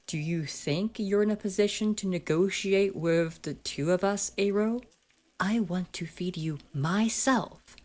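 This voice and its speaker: A woman's voice: lowish voice, a little mellow. A woman playing a haughty, sadistic school bully in an ASMR roleplay, with a formal, condescending and taunting manner.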